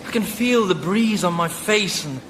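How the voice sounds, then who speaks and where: A vain, excitable businessman in a radio comedy. His voice is very high for a man and leaps around, stammering into whiny self-defence. Some ambient noise sits behind him. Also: normal speed. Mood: elated wonder. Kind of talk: radio sitcom acting.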